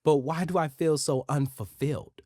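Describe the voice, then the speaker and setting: A man's voice: fairly high pitch, normal speed, darkish tone, dry recording, studio quality. Earnest man hosting a podcast. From United States. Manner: earnest, emphatic, repeats words. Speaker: podcast host. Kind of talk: casual interview chat.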